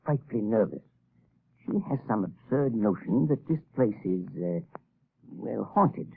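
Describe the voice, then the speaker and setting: Stammering husband, low gravelly voice, lively pitch, tinny 1930s radio broadcast. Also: male voice, a little slow, slight background noise. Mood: evasive. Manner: stammering, evasive. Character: nervous, shifty male professor, a husband. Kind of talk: 1930s radio drama acting.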